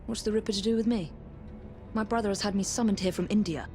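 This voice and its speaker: Determined Victorian woman assassin voiced by an actress, mid-pitched, crisp and formal, for game cutscenes. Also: fairly even intonation, fast, warm tone, faint music behind, natural voice. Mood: guarded, concerned. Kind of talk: dramatic video game cutscene acting.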